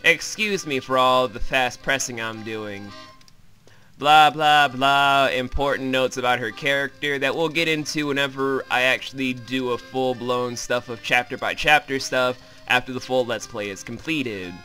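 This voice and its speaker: Bright-voiced man giving sarcastic commentary over music. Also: medium pitch, moderate pace. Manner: crude, irreverent, sarcastic. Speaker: host, self-described comedic voice actor. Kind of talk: joking video game recap commentary. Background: game music.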